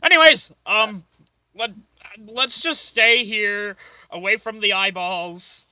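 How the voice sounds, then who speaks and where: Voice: mid-range voice, lively intonation, slow pace, sharp tone, no room sound, exaggerated voice. A performer voicing a manic, foul-mouthed, bossy cartoon ringmaster in an amateur fan dub, theatrical with hesitant asides. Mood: showy explaining.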